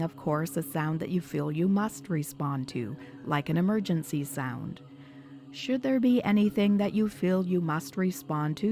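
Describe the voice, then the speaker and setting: A woman hypnotherapist leading a guided meditation in a soothing, measured, instructional manner. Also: lowish voice, dark tone. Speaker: hypnotherapist guiding a session. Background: background music.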